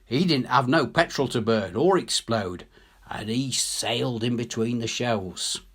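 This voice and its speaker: A man's voice: medium pitch, a little slow, breathy edge. A man reading a story as a working-class English soldier, telling his war tale colloquially, wry and awed. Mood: grim, vivid. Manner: colloquial, ungrammatical, animated storytelling. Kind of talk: dramatic audiobook reading.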